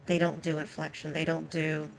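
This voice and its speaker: A woman's voice: sits low, level tone, normal speed, gravelly, slight background noise, rough recording. A woman whose first language is Japanese, chatting casually and profanely with viewers while streaming a game.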